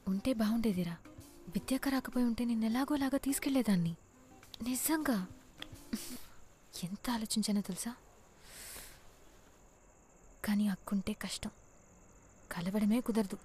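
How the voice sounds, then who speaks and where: A woman's voice: a little high, moderate pace, pauses often, darkish tone, faint music behind, lightly acted. An actress playing a devoted, strong-willed young woman in love, speaking intimate, naturalistic film dialogue. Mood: sad, hushed. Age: young adult. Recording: film soundtrack with faint ambient noise.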